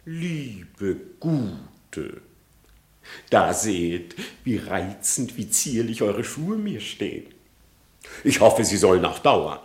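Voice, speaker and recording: A man's voice: lowish voice, very animated, slow. An actor playing a sly, hypocritical male fox who wheedles and mocks. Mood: mocking. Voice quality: wheedling, mocking. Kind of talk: classic radio play acting.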